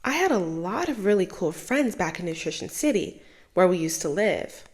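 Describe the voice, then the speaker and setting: A young woman host reading a children's book aloud with expressive, animated storytelling. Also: fairly low pitch, normal speed.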